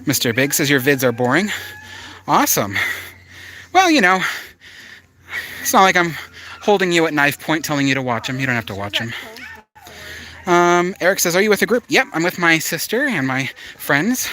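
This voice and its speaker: A man's voice: fairly high pitch, big pitch swings, normal speed, pauses often, pushed and bright. Easygoing Southern Californian man streaming live. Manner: easygoing, chatty, with frequent fillers and restarts. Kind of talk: casual live-stream chat, reading viewer comments aloud. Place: outdoors in a wooded park.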